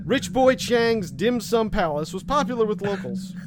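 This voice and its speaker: A man narrating a noir story in the second person as game master, with a wry, deadpan delivery. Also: sits high, moderate pace, noisy background.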